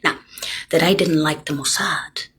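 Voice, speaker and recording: An older woman in a rambling monologue, lurching between hushed whispers and loud, emphatic bursts as she accuses her listeners directly. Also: sits low, measured pace, a little hiss or hum.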